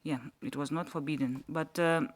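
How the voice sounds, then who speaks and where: A man from Yakutia whose first language is Sakha, speaking haltingly as a panelist in a panel discussion and searching for words. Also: a little high, fairly even intonation. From Yakutia, Russia.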